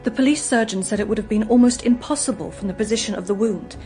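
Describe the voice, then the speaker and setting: An actress playing a gentle, guarded young English woman in a radio drama, speaking in an earnest, hesitant, confiding way. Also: fairly high pitch, fast talker, faint music behind, close to an everyday voice. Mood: earnest, anxious.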